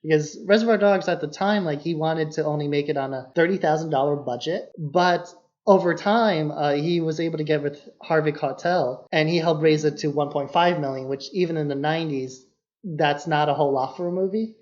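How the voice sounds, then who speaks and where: A man's voice: fairly high pitch, a little fast, darkish tone. A man co-hosting a podcast, explaining things about film in a casual, loosely worded way. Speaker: podcast co-host. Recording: dull, lo-fi podcast audio.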